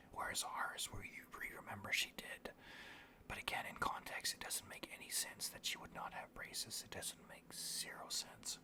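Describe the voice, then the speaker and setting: A video host speaking in a breathy whisper, rambling casually through anecdotes with some swearing. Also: medium pitch, bright, forceful tone.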